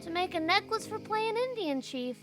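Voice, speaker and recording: Woman doing a squeaky chattering little boy. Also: animated, moderate pace, fairly bright tone, faint music behind. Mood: excited chatter. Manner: eager, chattering, boastful. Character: cheeky excitable little boy.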